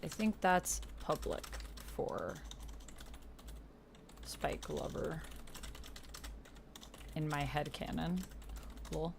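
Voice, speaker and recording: Low-pitched woman chatting on a livestream. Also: fairly even intonation, very slow, creaky, pauses often, slight background noise. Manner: chatty, muttering to self while typing. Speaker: host of a live tabletop roleplay stream. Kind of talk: casual livestream chat, reading typed posts aloud. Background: computer keyboard typing.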